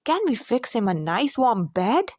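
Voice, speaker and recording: A woman's voice: fairly high pitch, very animated, a little slow, darkish tone, dry recording, studio quality. A woman voicing an eager, pleading little orphan boy in a children's storybook. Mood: excited, eager. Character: small, lonely, eager orphan boys.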